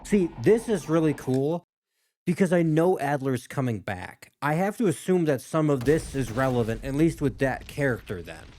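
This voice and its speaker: A man's voice: on the high side, expressive, moderate pace, darkish tone, slight background noise. A man giving casual reaction commentary as a gaming video host, rambling as he thinks aloud.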